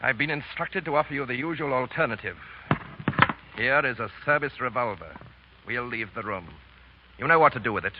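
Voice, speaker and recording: An actor in a 1930s radio drama playing a stern, scheming French army officer with a clipped, commanding manner. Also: male voice, mid-range voice, animated, a little fast, lightly acted. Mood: coldly commanding. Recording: dull, noisy 1930s radio recording.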